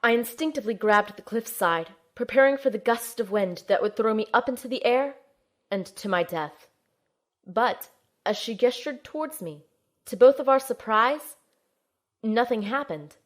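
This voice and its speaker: A woman playing a determined young heroine who narrates her own adventure in an audio drama, in a measured, earnest way. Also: on the high side, animated, close to an everyday voice. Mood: tense, urgent. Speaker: narrator and lead character. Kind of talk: scripted first-person audio drama narration.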